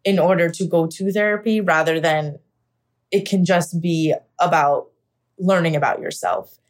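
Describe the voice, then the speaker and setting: A woman's voice: on the low side, normal speed. A young woman from the United States candidly telling her own story in an interview, reflective and full of casual fillers. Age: young adult. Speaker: actor sharing her story. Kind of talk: personal interview monologue.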